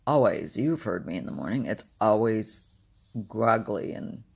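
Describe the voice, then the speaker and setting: An older woman with a deep, gravelly, smoker-like morning voice, tired and short on sleep, talking candidly in a rambling personal video diary. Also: sing-song, measured pace, darkish tone. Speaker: vlogger.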